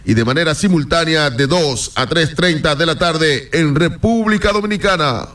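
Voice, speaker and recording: A man's voice: fairly high pitch, moderate pace, recorded from a distance, noisy background. A man reading a scripted radio programme promo as an announcer.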